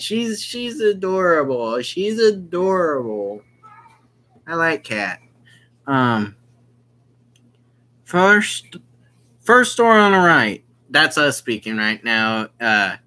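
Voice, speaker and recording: A gaming host with a bright, mid-pitched voice reads a visual novel aloud at a very slow, halting pace. The delivery is casual and rises and falls in a lively way, with asides to explain which character is speaking. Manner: halting, explains who is speaking as they read.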